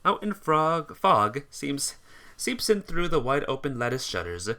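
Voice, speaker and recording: A man's voice: on the high side, big pitch swings, measured pace, sharp tone. A man reading a visual novel aloud as amateur narration, sometimes stumbling and rereading phrases.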